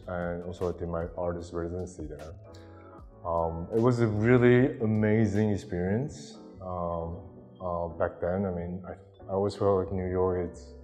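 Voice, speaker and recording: Man in his 30s from Taiwan, very low voice, halting interview answers on a lapel mic, a long reverb tail and faint music behind. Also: mellow. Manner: hesitant, many ums and restarts. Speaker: interviewee artist.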